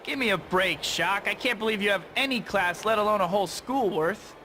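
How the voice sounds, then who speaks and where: A man's voice: high-pitched, a little fast. An actor playing a cocky young superhero in a video game, flippant and teasing in his delivery. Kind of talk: video game hero acting. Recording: voice under loud game music.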